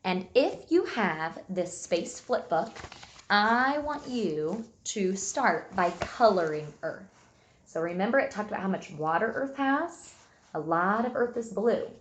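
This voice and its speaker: Woman, early grades teacher, unhurried pace, deliberate enunciation, read-aloud lesson, roomy echo. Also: mid-range voice, lively intonation, a little hiss or hum. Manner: simple, instructional, enunciates key words deliberately. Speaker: early elementary school teacher. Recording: echoey room sound.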